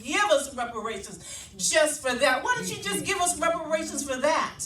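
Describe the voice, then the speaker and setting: A woman spoken word poet with a loud voice performing live, rhythmic and emphatic, slowing down to lean on key phrases. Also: high-pitched, lively intonation. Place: event hall at a labor office. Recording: echoey hall, voice heard from a distance.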